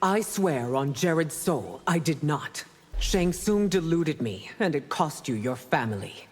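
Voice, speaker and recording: A regal empress from a fighting game, formal and commanding. Her low voice moves expressively in pitch, with long reverb and noise behind it. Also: animated, measured pace, slightly rough, full character voice. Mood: regal, resolute. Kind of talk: video game character dialogue.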